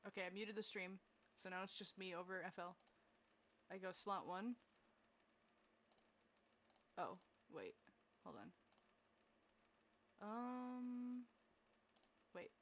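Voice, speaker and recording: A woman's voice: mid-range voice, lively intonation, slow pace, pauses often, a bit bright. A young woman streaming while she makes music, rambling and thinking aloud as she works, with lots of restarts. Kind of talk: casual live-stream chat while working.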